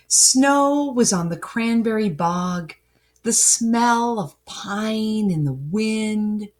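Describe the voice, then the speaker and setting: A woman reading a storybook aloud, talking directly to young listeners in a sing-song way. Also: medium pitch. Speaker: storybook reader for children. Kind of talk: warm read-aloud greeting and storytelling to children.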